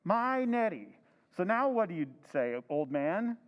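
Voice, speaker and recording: An actor playing a flustered, excitable henpecked husband in an old-time radio drama recreation. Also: male voice, sits high, a little slow, big-room sound, dull audio.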